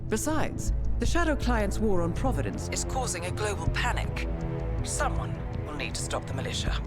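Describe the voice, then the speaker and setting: An actress playing a poised, wry assassin's handler in a video game cutscene, with a measured, dry, businesslike manner. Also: female voice, medium pitch, very animated, lightly acted. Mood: measured, persuasive. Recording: dramatic music behind the voice.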